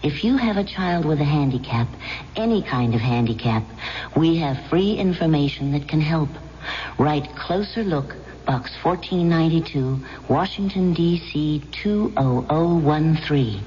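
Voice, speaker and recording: A woman reading a scripted public service announcement in a deliberate manner. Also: low voice, animated, mellow. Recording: muffled vintage radio, faint noise.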